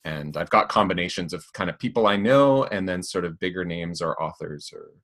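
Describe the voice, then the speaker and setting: A man's voice: low-pitched, expressive, a little fast, fairly bright tone. A man on a video call describing his podcast plans in a hesitant, restarting way. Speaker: podcaster participant. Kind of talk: casual call chat.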